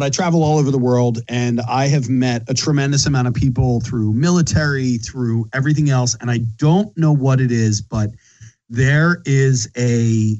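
A man's voice: mid-range voice, a little mellow, lo-fi sound. A man from Philadelphia with a Philly accent hosting a casual interview, rambling and emphatic, restarting his sentences often. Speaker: podcast host.

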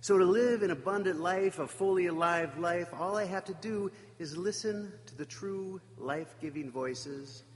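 A man preaching a reflective sermon, emphatic at times and pausing for effect. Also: high-pitched, big-room sound. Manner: reflective, emphatic with dramatic pauses.

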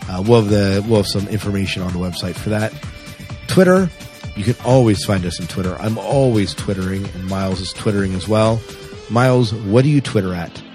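Man, slightly low pitch, podcast host, casual chat, music behind. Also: a little fast, darkish tone.

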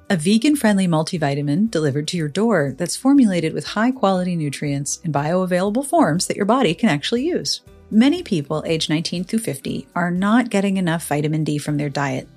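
A woman's voice: lowish voice, a little mellow, breathy edge. A woman hosting a podcast, reading scripted narration. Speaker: podcast host. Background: background music.

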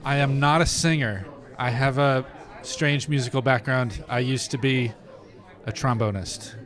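A man with a mid-range voice who talks about his music background with dry, self-deprecating humor. His delivery is plain and relaxed in tone. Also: mid-range voice, measured pace, some chatter behind. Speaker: arts advocate.

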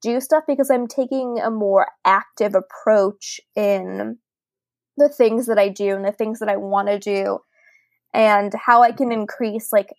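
A woman's voice: medium pitch, fairly even intonation, measured pace, no echo, studio quality. A woman in her late twenties co-hosting a podcast in a casual, chatty way, laughing often and peppering her talk with "like.". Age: late 20s. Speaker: podcast co-host.

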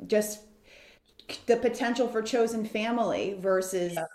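A woman's voice: medium pitch, unhurried, a little mellow. A woman from the United States, a guest in an interview, telling her story in an earnest, flowing way. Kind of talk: reflective interview talk. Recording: roomy echo.

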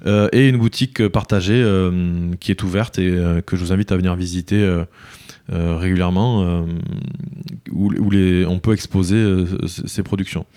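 A man answering interview questions conversationally as a local mayor, halting and repeating words as he explains. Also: low voice, steady tone, dark tone, smooth. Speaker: interview guest, village mayor.

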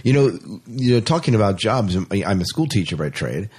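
A man's voice: fairly low pitch, very animated, a little fast, a little mellow, husky. A man chatting casually about science fiction as a podcast co-host, often repeating phrases for emphasis.

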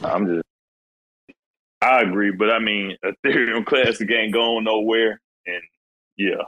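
A man's voice: on the low side, measured pace, sharp tone, poor audio. A man in a casual crypto discussion, talking in a loose, slangy, conversational way.

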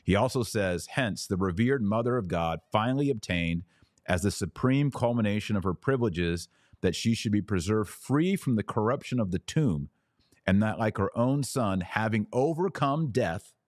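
Low-voiced man hosting a religious podcast. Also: lively intonation, normal speed, a little mellow, dry recording, clean studio sound. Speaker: podcast host answering live audience questions. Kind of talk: conversational religious teaching, question and answer.

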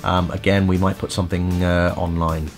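A man's voice: deep voice, little pitch movement, normal speed, faint music behind. A middle-aged man hosting a podcast, giving casual vocal tips in a chatty way with many fillers and restarts. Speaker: podcast host and vocal coach.

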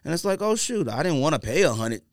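A man in casual podcast conversation, telling stories in an animated, slangy, conversational way. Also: on the high side, fast, dry recording. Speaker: podcast host. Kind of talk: casual podcast chat about sneakers.

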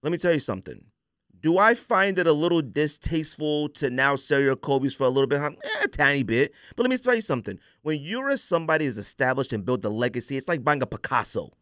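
A man's voice: on the high side, sing-song, fast, a bit bright, no echo, clean studio sound. A man hosting a casual podcast, giving a blunt, emphatic, opinionated rant peppered with rhetorical questions. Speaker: podcast host.

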